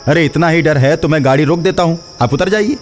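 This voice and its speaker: A man's voice: a little high, quick pace, pitched above the performer's own voice, natural voice. An offended male truck driver voiced by a storyteller complains in exasperation. It is horror cartoon dialogue with faint music underneath. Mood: irritated.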